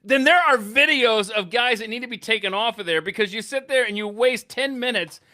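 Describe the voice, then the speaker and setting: A man's voice: extremely high pitch, brisk, bright, forceful tone. A man hosting a casual podcast, exclamatory and incredulous as he banters in a studio. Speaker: podcast host.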